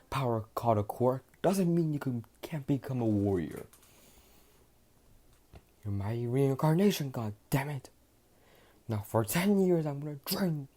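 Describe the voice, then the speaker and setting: A man voicing a proud, arrogant alien warrior king who barks gruff orders. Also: medium pitch, voice pushed up. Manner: gruff, scolding, shouting.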